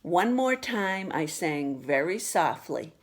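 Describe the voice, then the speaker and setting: A woman reading a children's picture book aloud with expressive, deliberate storytelling delivery. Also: on the low side. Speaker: storybook reader.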